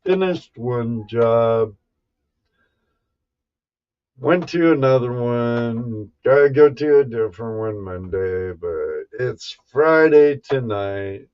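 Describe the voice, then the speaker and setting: A man hosts a livestream in a very slow, folksy manner with a medium-pitched voice. He chats casually, pausing and hesitating as he addresses viewers one at a time. The audio is a little dull and lo-fi. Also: lively intonation, smooth. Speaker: livestream host.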